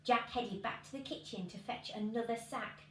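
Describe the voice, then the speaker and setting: A woman's voice: on the high side, normal speed, fairly bright tone. A woman, reading a children's story expressively. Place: living room at home, indoors. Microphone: camera a few feet away. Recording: echoey room with background noise.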